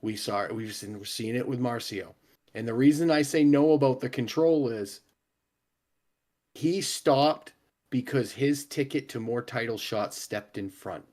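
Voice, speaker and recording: A man co-hosting a wrestling review, explaining his view in a measured, emphatic way. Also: medium pitch, a little mellow. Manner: measured, emphatic, building an argument. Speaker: wrestling show co-host. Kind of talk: casual wrestling analysis.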